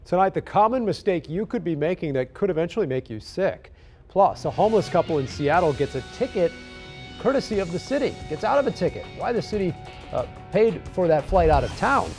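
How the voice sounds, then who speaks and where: A man's voice: sits high, sing-song, fairly quick, a little mellow, faint music behind. An American man in his thirties anchoring TV news, reading headline teases. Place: TV newsroom studio. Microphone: lapel mic.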